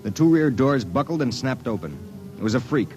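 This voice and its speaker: An actor playing a wisecracking young private detective who also narrates, in a 1950s radio drama. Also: male voice, mid-range voice, sing-song, a little fast, muffled recording, over music, lightly acted. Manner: wisecracking, hard-boiled.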